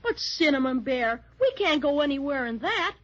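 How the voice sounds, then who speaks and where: An eager, curious young boy, acted by a woman, high and bouncing all over with excited exclamations. It comes through a dull old 1930s radio transcription. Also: normal speed. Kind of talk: 1930s children's radio drama.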